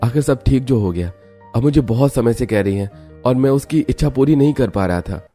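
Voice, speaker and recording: A woman's voice: a bass voice, animated, moderate pace, warm tone, faint music behind, voice pushed down. A woman voicing an earnest, caring young married son in a family cartoon. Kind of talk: acted cartoon story dialogue.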